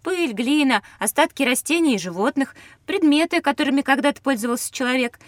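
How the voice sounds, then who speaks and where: An eager child in a learning adventure for children. The high voice is inquisitive and observant, recorded very dry. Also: female voice, expressive, a little fast, no echo. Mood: eager, explaining. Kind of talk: children's educational audio drama acting.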